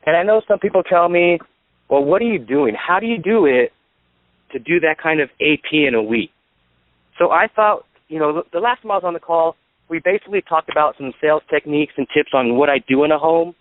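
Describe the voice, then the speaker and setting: High-voiced man over a dry phone line. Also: expressive, brisk, dry recording. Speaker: insurance sales agent and trainer, guest speaker. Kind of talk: instructional sales talk on a call.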